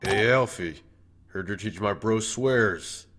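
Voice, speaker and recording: A man's voice: on the low side, slow. A man voicing a laid-back, wry, protective skeleton older brother in a comic dub, talking in a casual, dry, teasing way. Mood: dryly teasing. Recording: voice over background music.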